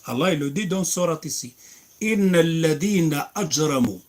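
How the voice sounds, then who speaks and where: A man's voice: a little high, lively intonation, normal speed, darkish tone. A man preaching and answering questions, emphatic, breaking into recited Arabic verses. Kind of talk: religious Q&A.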